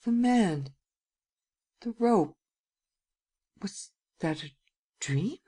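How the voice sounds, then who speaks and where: A man's voice: a little high, big pitch swings, moderate pace, mellow. A man voicing a terrified, despairing young poet in an audiobook, stammering and pleading in anguish. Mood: frantic pleading. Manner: anguished, stammering, gasping pleas. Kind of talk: dramatic audiobook character acting.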